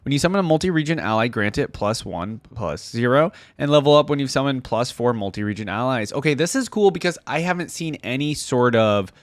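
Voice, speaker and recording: A man's voice: mid-range voice, lively intonation, fairly quick, few pauses, dry recording, clean studio sound. A young man giving casual reaction commentary as a video host, musing aloud in an informal way.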